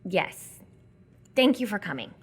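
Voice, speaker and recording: Woman acting young trailer girl, sardonic n guarded, blunt but hurt, pitch moves around a lot, stage radio play. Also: sing-song, unhurried, husky, exaggerated voice. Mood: resigned. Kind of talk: live radio theatre acting.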